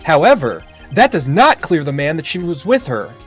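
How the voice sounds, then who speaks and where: Defense attorney, voiced by a man: confident, pointed, lively highish delivery, game dub over faint music. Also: normal speed. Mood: pressing, confident. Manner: theatrical, pointed questioning. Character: confident, needling young male defense lawyer. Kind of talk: improvised video game dubbing.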